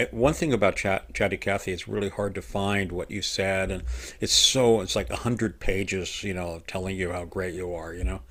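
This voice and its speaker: Very deep-voiced man chatting on a call. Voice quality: loud voice, by his own account. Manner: rambling, laughs often, many restarts. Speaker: show guest or host talking about his design work. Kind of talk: casual video call chat. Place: home room in Japan. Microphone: desk microphone on a video call.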